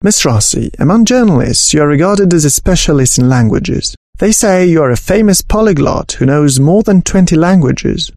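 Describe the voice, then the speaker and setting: Actor as a polite, curious male interviewer: higher voice, lively inflection, dry booth. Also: normal speed, hardly any pauses, no echo. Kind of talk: scripted textbook dialogue.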